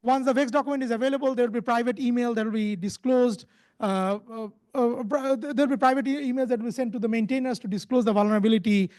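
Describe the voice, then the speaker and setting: A man presenting at a conference as a speaker, in a halting delivery with frequently repeated words and restarted phrases. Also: extremely high pitch, fairly even intonation, darkish tone. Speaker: conference speaker, company founder.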